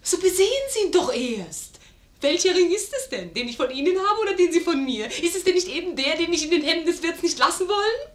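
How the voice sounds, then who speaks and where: Young noblewoman, high pitch, playful, theatrical, 1950s radio play, roomy studio. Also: animated, fairly quick. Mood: tender, eager. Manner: theatrical, teasing diction.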